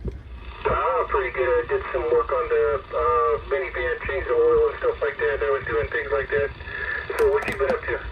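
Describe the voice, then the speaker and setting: A man's voice: a little high, huge pitch swings, moderate pace. A man chatting casually as a radio operator, heard through a two-way radio transmission. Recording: noisy muffled CB radio speaker, distant.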